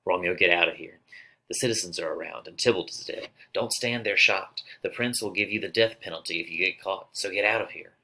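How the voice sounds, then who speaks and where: A man acts out an earnest young nobleman in a dramatic reading. His voice is deep yet sharp. He declaims sincerely, as a loyal friend would. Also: fairly quick, heavily acted, low-quality recording. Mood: urgent alarm.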